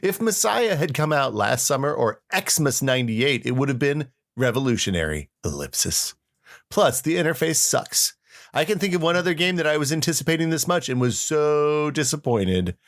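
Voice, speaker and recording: A man's voice: a little high, big pitch swings, normal speed. Middle-aged American man, casual podcast co-host. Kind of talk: casual chat with anecdotes and read-aloud old posts.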